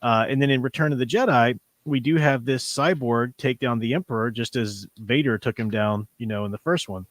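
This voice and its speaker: Male livestream host, average pitch, casual discussion style with rambling, hedging explanations, dry recording. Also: a little fast, hardly any pauses, no echo.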